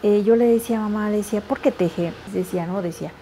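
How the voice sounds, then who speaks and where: A woman's voice: medium pitch, fast talker, dark tone, slight background noise, low-quality recording. A middle-aged woman from Putumayo, Colombia, a weaver earnestly explaining her craft in a documentary interview.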